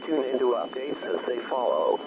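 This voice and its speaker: A man's voice: mid-range voice, very animated, moderate pace. A man reading a scripted emergency alert as an announcer. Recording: muffled alert broadcast with loud noise.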